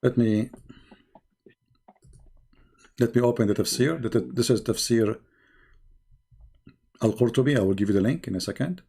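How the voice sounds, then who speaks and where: A man with an Arabic accent hosts a heated live-stream debate with callers. His voice is gravelly and mid-pitched, and his English is halting as he presses the same question again and again. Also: mellow. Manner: insistent, repeats questions, halting broken English.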